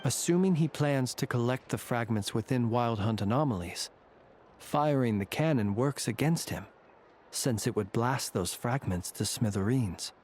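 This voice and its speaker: An actor playing a courteous, secretive lantern keeper in a video game, speaking in a formal, measured, wry way. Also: male voice, mid-range voice, expressive, a little mellow, a little hiss or hum, close to an everyday voice. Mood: composed, pondering. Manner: formal, measured, dryly ironic.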